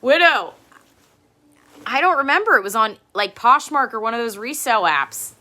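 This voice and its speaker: A woman in her thirties with a low speaking voice, chatting casually and rambling as she hosts a live-stream show and tell from home, laughing often. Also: sing-song, moderate pace, sharp tone, faint music behind.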